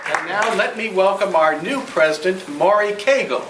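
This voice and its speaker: A man giving a courteous, ceremonious and halting welcome speech as a club president, recorded at a distance in a noisy room. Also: high-pitched, expressive, reverberant, a loud crowd.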